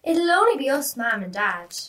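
Woman as an anxious little girl, high-voiced. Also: sing-song, normal speed, fairly bright tone, far from the mic. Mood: worried, curious. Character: anxious, questioning young Irish girl.

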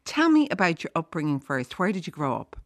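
Woman radio host, very low and lively pitch, direct interviewer, dry studio mic. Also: fairly quick, no echo. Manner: direct, leading questions.

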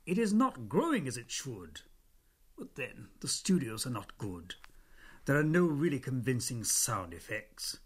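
A man's voice: mid-range voice, very animated, a little slow, slightly rough. A man reading out an old newspaper critique in a declamatory way. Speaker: reader of a newspaper quotation. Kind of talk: read quotation.

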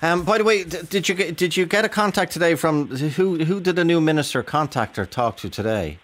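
A man's voice: a little high, expressive, brisk, a bit bright. A man hosting a radio phone-in, probing callers and cutting in with frequent restarts.

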